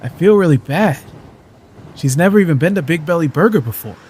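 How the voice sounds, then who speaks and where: A man's voice: a little high, lively intonation, brisk, a little mellow, a little hiss or hum, natural voice. A man playing an eager, earnest young news photographer in an audio drama, boyish and apologetic. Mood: concerned.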